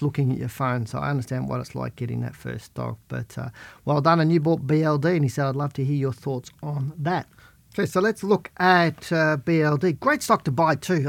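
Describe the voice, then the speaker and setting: A middle-aged man with a croaky voice from a head cold, hosting a podcast and casually answering listener questions while reading stock charts. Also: on the high side, no room sound. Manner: chatty, plain-spoken, talks while reading charts. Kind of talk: casual market commentary.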